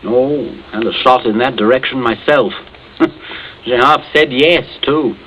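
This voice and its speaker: An actor playing a breezy, wry British captain and neighbour in 1940s radio drama, hearty and clipped. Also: male voice, mid-range voice, unhurried, fairly bright tone, natural voice. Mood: wry, rueful. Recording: muffled, noisy old 1940s radio recording.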